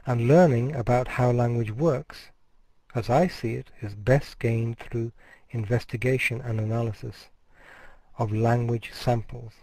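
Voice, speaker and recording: A middle-aged man delivers a university lecture in a steady, explanatory way, now and then breaking off a word to restart it. His voice sits at an ordinary pitch with a soft, mellow tone. The sound is close and dry, with no room around it. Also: dry recording, poor audio. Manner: measured, explanatory, restarts words mid-phrase. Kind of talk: recorded course lecture.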